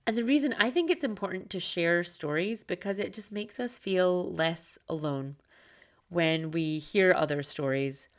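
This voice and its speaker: Woman with a faint Scottish-American accent, low-pitched. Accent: slight Scottish mixed with American. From Scotland. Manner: earnest and candid, conversational. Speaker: podcast host. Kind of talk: personal podcast monologue.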